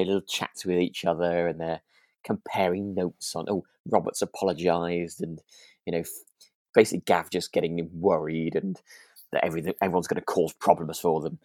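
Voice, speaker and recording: A man's voice: deep voice, darkish tone, no echo, studio quality. A British man chatting casually as a podcast co-host in an informal, rambling, hesitant way. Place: remote call from home.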